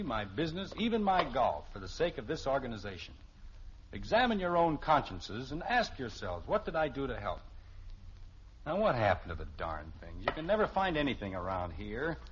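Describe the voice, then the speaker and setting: A man's voice: medium pitch, big pitch swings, moderate pace, natural voice. An American actor playing a blustering, sarcastic middle-aged suburban father in a 1950s radio sitcom. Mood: fussy, pompous. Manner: theatrical, sarcastic, fussy. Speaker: lead actor in a family sitcom. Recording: muffled, faintly hissy old radio recording.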